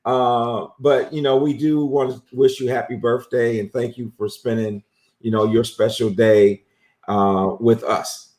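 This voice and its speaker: A man hosting a live interview show, talking in a relaxed, friendly way with lots of fillers. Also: mid-range voice, unhurried, reverberant. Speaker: host. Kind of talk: casual interview on a live stream.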